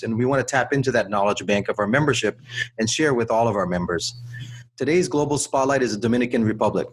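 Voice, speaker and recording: Slightly husky male podcast host, music behind. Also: medium pitch. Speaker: podcast host interviewing guests. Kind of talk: podcast introduction and interview.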